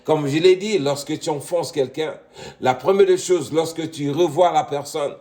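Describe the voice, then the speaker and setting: A man's voice: fairly high pitch, moderate pace, dark tone. A middle-aged man from Congo preaching a sermon, addressing listeners as beloved and punctuating points with amen, rising to emphatic peaks. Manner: repeats "mes bien-aimés" and "Amen", rising to emphatic peaks.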